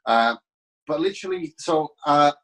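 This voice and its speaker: A man's voice: a little high, animated, unhurried. Latvian guy around 30 with a bit of british in the accent, easygoing, lots of uh and you know. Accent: Latvian with a slight British tinge. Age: about 30. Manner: friendly, rambling, many fillers and restarts. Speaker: podcast guest, business owner. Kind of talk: casual interview chat.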